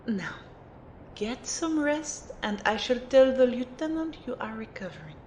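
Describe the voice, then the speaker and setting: A woman's voice: a little high, very animated, measured pace, darkish tone, a little hiss or hum. A woman playing a haughty, cool-headed female mentor in an audio drama, speaking formally and precisely with condescension. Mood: instructive, calm.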